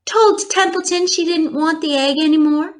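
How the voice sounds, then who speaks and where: Woman as a high-voiced earnest farm girl. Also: normal speed. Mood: earnest, chatty. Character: young farm girl, earnest, matter-of-fact.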